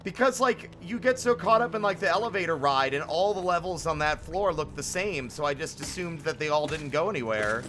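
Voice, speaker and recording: A man's voice: fairly high pitch, fairly quick, hardly any pauses, a bit bright. A man chatting casually and expressively to viewers while live-streaming a game, with some swearing. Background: game music.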